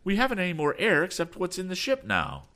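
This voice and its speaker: A man's voice: deep voice, wildly swooping pitch, creaky, fairly bright tone, heavily acted. A man voicing an earnest young male inventor who exclaims urgently in an adventure storybook. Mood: despairing. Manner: quick, startled exclamations.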